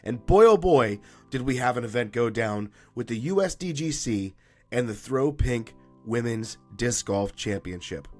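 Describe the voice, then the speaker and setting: A chatty man hosts a solo sports recap at an ordinary pitch, his voice a touch raspy and lower than usual from allergies. He talks in an upbeat, loose way and trips over the odd filler. Faint music plays underneath. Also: expressive, unhurried, darkish tone. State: slightly raspy, deeper from allergies. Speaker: podcast host.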